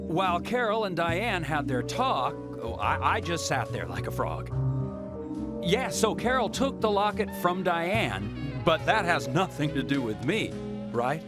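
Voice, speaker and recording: A man's voice: fairly high pitch, big pitch swings, measured pace. An actor playing a nervous, evasive small-town man in a video game, stammering and folksy. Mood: flustered, evasive. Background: loud game music.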